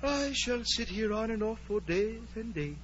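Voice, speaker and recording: A man's voice: high voice, lively intonation, normal speed, a bit bright. An actor playing an idle, stubborn, obtuse footman creature with a droning, pedantic manner, in 1940s radio drama style. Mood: vacant, stubborn. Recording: muffled old radio recording, heavy noise.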